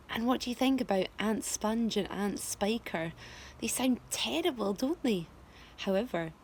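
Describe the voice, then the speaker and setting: A woman's voice: medium pitch, vocal fry, darkish tone, a little hiss or hum, low-quality recording. A middle-aged woman teacher reading a children's book aloud expressively, asking her listeners questions. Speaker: primary school teacher.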